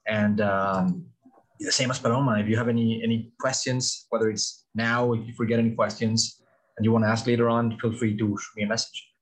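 A man in his thirties from Mexico, speaking English as a second language after Spanish. He talks casually over a video call, in a relaxed way with lots of "like" and repeated words. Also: lowish voice, a little fast, rough recording. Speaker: alumni guest. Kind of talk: casual chat.

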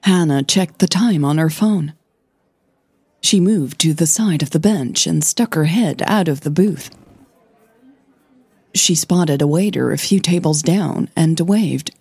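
Slow, mellow woman narrating a story. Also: low-pitched, breathy edge, no room sound. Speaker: narrator of fiction audio drama.

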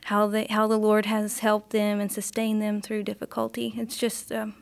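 A woman, a missionary's wife, speaking softly and reflectively in an interview. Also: mid-range voice, monotone, normal speed, gravelly. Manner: soft, reflective, gentle. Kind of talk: interview conversation.

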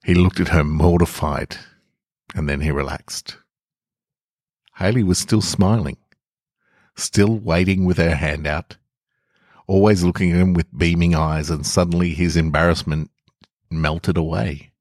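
Deep-voiced man narrating a gentle story. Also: no echo, studio quality. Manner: gentle, unhurried storytelling; loose with fillers when speaking off script. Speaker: podcast host and narrator.

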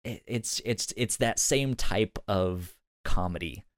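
A man co-hosting a podcast, chatting casually in a halting way and often repeating words as he goes. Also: lowish voice, dry recording. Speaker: podcast co-host. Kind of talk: casual podcast review chat.

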